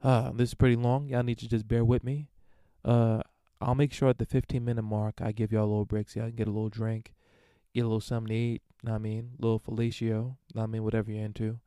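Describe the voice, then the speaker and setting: Smooth, mellow-voiced man riffing crudely. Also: on the low side, flat delivery, fast, few pauses, no echo, clean studio sound. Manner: crude, irreverent, rambling with frequent fillers. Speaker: podcast host answering listener emails. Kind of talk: casual solo monologue.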